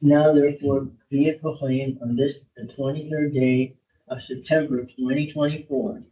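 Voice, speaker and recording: A man born in Cuba chairing a public board meeting, pausing often with filler sounds as he speaks and reads a formal proclamation. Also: little pitch movement, dark tone, far from the mic. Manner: hesitant, many filler sounds. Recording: dull low-fidelity meeting audio.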